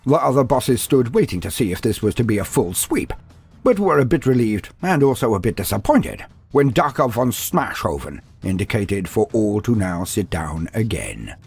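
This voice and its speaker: A man's voice: fairly low pitch, sing-song, moderate pace, darkish tone, breathy edge. A man giving a scripted, dramatic narration of fantasy war lore. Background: music.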